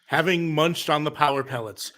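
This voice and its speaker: Bright, higher-pitched male narrator. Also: moderate pace. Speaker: narrator at a table read. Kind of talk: scripted story narration, live reading.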